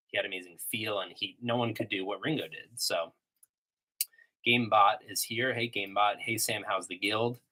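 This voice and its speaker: A man hosting a casual livestream Q&A about guitar, talking with a slight cold and a sniffly sound. He rambles in a relaxed way, with many ums and restarts, and plays guitar between answers. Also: lowish voice, rough recording. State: has a cold, a little sniffly. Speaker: livestream host answering chat questions. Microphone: iMac desktop microphone. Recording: home desktop computer recording. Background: guitar playing between answers.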